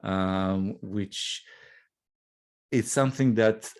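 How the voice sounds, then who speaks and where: A middle-aged Israeli man with an Israeli accent, a guest in an interview who speaks English as a second language and often pauses, restarts and says "uh". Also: fairly low pitch, smooth. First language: Hebrew. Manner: halting, many fillers and restarts. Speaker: guest, nonprofit CEO. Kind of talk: conversational interview.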